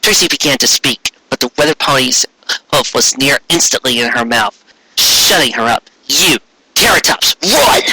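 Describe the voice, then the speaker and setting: A man narrates a fantasy story in an amateur read-aloud style. His voice is very bright and somewhat raspy, recorded close and dry. He trips on words and corrects himself as he goes. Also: mid-range voice, moderate pace, no echo, poor audio. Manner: stumbling over words, self-correcting. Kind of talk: reading fan fiction aloud, narration.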